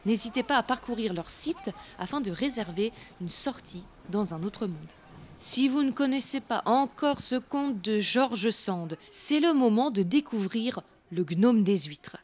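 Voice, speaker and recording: A woman hosting a storytelling show, narrating scripted folk legends in a theatrical, literary manner. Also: fairly high pitch. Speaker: host and storyteller.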